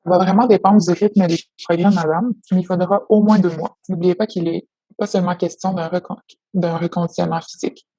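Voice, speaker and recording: A woman speaking as a therapist in a measured, formal, explanatory way on a professional call. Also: on the low side, fairly even intonation, dark tone, husky, no room sound, poor audio. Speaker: occupational therapist. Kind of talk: professional phone consultation, prepared report.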